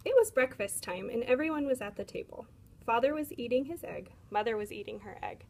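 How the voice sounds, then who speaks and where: A woman reading a children's picture book aloud in an expressive storytelling style. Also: on the high side, a little fast. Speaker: read-aloud storyteller, teacher.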